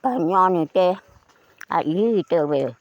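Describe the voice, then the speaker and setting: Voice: mid-range voice, lively intonation, very slow, dull audio. A person telling a folk tale aloud in a conversational way. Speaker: folk storyteller.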